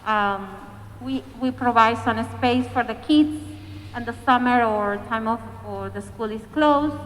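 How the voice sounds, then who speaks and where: A woman's voice: on the high side, a little slow. Upbeat Peruvian woman in an echoing hall. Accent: Peruvian Spanish. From Peru. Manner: enthusiastic, rambling, laughing between points. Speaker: community organizer panelist. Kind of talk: panel remarks. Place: museum event hall. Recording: echoey hall with faint noise.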